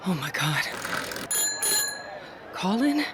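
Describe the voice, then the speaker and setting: A woman's voice: on the low side, heavily acted. A woman playing an anxious, confused mother in a fantasy audio drama, startled and full of questions. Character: bewildered, anxious mother in a strange world. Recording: noisy ambience behind the voice.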